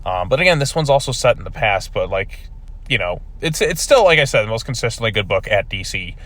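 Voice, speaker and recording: A man's voice: lowish voice, very animated, a little fast, a bit bright. A man giving a casual video review of a comic book, rambling with many fillers, his voice still recovering from being lost.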